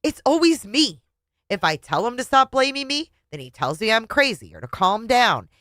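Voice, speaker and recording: A woman voicing an exasperated wife in a story, pleading and high-strung as she begs to be understood. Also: very animated, normal speed, fairly bright tone, no room sound, studio quality. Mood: upset, confused.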